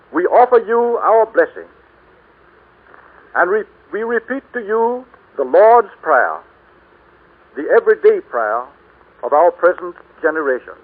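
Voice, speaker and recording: A man delivers a formal 1930s radio address through a thin, tinny recording. His voice sits high for a man. He speaks solemnly and with measured, oratorical phrasing. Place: radio broadcasting studio with audience.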